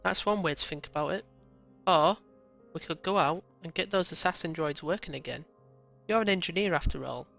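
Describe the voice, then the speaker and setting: A man's voice: on the high side, fairly quick, creaky, bright, forceful tone, soft background music. A man playing a bold young lieutenant who is earnest and persuasive, on a dull lo-fi recording. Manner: earnest, rousing speech-giving.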